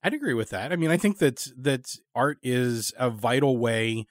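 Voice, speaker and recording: A man's voice: mid-range voice, animated, moderate pace, dry recording. Man interviewer in a very dry recording. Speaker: podcast interviewer. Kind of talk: casual long-form interview conversation.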